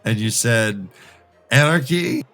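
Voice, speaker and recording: A man's voice: medium pitch, animated, slow pace, fairly bright tone, faint music behind. A man co-hosting a podcast, discussing and bantering casually in a thoughtful, hesitant, wry way. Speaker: podcast co-host.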